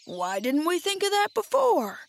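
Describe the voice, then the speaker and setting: An eager, simple-hearted scarecrow, acted by a woman, speaks in a high voice with drawn-out words. He grumbles and exclaims like a figure in a children's storybook. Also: lightly acted. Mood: eager, curious.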